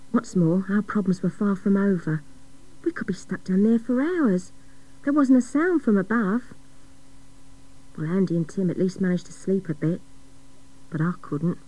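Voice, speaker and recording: Brief: actress as sober young female survivor, mellow, reflective narration for radio drama, faint noise behind. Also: medium pitch, natural voice, poor audio. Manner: reflective, storytelling.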